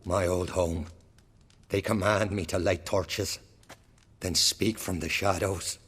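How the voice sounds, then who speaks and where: A grim, tormented jarl speaks in solemn, old-fashioned lines. His voice sits a little low and trails off into a long reverb, as if in a great hall. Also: fairly even intonation, slow, a bit bright, breathy edge, full character voice. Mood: grim, haunted. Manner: solemn, archaic, fatalistic speech.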